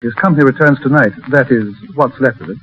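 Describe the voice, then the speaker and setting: An actor playing a devoted, urbane, gently forbearing fiancé in a 1930s radio drama. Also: male voice, mid-range voice, fast talker, natural voice. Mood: resigned, tender. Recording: noisy, narrow, distant 1930s radio recording.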